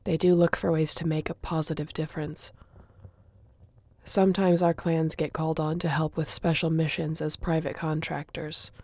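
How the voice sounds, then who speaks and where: Woman acting as young female shifter, audio roleplay, wry and casual, even intonation, mellow tone, competitive twin sister. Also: sits low, gravelly, dry recording.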